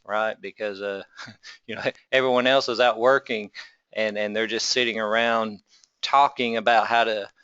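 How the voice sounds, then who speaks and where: A man gives an informal classroom lecture in a bright, forceful voice. His tone is clean and smooth, and he explains conversationally, tossing out rhetorical questions as he goes. The recording is somewhat dull and lo-fi. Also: lowish voice, dry recording. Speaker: teacher of a Bible survey class.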